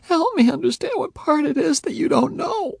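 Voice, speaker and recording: A man's voice: high-pitched, expressive, normal speed, vocal fry, darkish tone, husky, dry recording, clean studio sound, voice pushed up, full character voice. A man voicing a tearful, pleading young woman. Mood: tearful insistent. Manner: tearful, pleading, rising to anger. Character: young woman, heartbroken, earnest and fiery. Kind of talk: audiobook character voice.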